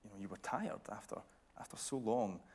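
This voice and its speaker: A man interviewing on stage in a measured, probing way. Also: fairly low pitch, a little mellow. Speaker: interviewer, TV reporter. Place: radio theatre stage.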